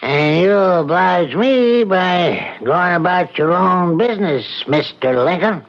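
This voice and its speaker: A guarded American small-town banker in an old radio play. His voice is rather high and very unhurried, his speech formal and smooth-talking, the sound muffled. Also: animated, exaggerated voice. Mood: cold, defiant. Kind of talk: radio drama acting.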